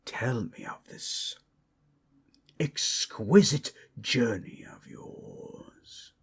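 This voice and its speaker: Big proud red dragon for asmr roleplay, super slow n grand, husky gravelly voice. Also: male voice, medium pitch, lively intonation, full character voice. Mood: suspicious, mocking. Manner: slow, grandiose, formal dramatic delivery. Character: ancient proud red dragon, menacing yet tender protector. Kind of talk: improvised fantasy ASMR roleplay.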